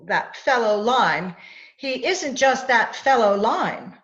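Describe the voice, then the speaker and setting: Radio-play fiancee, woman actor: haughty, exasperated, theatrical, animated delivery over muffled call audio. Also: on the high side, slow. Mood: incredulous. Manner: theatrical, insistent, scolding. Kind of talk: old-time radio drama acting. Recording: dull video-call audio.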